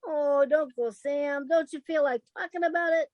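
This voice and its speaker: A woman's voice: high-pitched, moderate pace, a little mellow, no echo, lightly acted. A woman playing a meek, eager detective's secretary, solicitous and naive, in 1940s radio style. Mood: eager, concerned.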